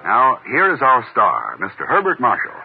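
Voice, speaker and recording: Male vintage radio announcer, animated sponsor reads, narrow-band sound with music behind. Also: mid-range voice, normal speed, gravelly. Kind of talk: 1950s radio announcing and ad reading.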